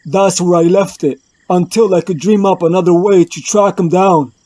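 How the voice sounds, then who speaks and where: A man's voice: high voice, steady tone, normal speed, warm tone. A man voicing Batman as a grave, driven detective hero in a solemn, melodramatic comic-book dub. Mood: brooding. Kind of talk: dramatic comic-book dub with inner monologue. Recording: music bed under the voice.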